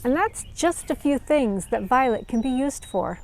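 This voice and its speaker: Female children's storyteller, fairly high voice, scripted educational read-aloud, measured and clearly phrased. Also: expressive.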